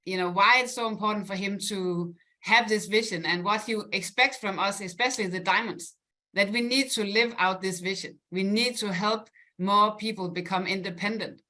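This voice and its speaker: A woman from Denmark speaks in a bright, lively tone as she presents on a business call. She talks at an ordinary pitch, filling pauses with "uh" and "you know" and laughing lightly along the way. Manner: upbeat, laughs often, many fillers. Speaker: guest presenter.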